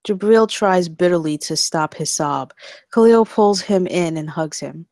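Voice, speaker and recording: Low-pitched woman reading stage directions. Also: measured pace, darkish tone, dry recording, clean studio sound. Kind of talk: scripted narration at table read.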